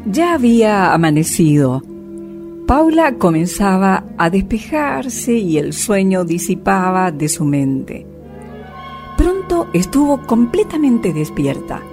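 A woman's voice: mid-range voice, very animated, takes it slowly, over music. A woman narrating a scripted radio drama in a storytelling style.

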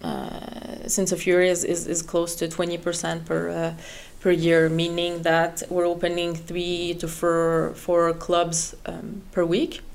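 A woman's voice: fairly low pitch, monotone, slow, creaky, few pauses, darkish tone. A middle-aged woman from French Canada with a French Canadian accent, speaking English as a guest with many fillers and repeated words. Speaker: head of HR, guest. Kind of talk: interview chat.

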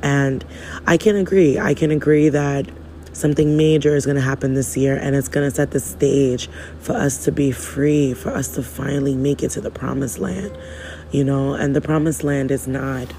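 A man, hoarse from losing his voice, giving a rambling, preachy spiritual podcast monologue in casual slang. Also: a little high, fairly even intonation, moderate pace, darkish tone, a little hiss or hum.

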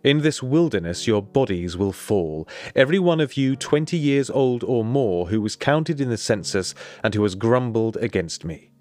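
A man giving a scripted, solemn and measured reading from the Bible. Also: mid-range voice, animated, darkish tone. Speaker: scripture reader.

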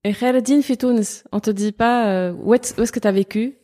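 A woman hosts a podcast interview. She speaks softly and rephrases her questions as she goes, looking for the right word. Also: on the high side, steady tone, fairly quick. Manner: soft-spoken, searching for words. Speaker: podcast host.

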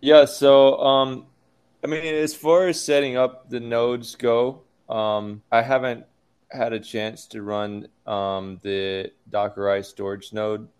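A man on a podcast as a guest developer, giving a halting technical explanation full of ums. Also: medium pitch, level tone, a little mellow, clean tone. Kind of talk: casual podcast chat.

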